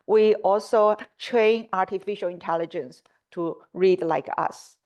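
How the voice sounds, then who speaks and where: A woman's voice: medium pitch, measured pace, darkish tone. A middle-aged woman from China, a native Mandarin speaker with a Mandarin Chinese accent, giving a prepared lecture as a symposium speaker. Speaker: symposium speaker, scientific curator.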